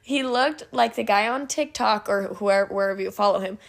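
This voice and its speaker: A woman's voice: mid-range voice, normal speed, gravelly. A young woman co-hosting a podcast, a little stuffed up from allergies, rambling and laughing as she retells a story. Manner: rambling, giggly, animated retelling. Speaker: podcast co-host. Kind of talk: casual chat, storytelling.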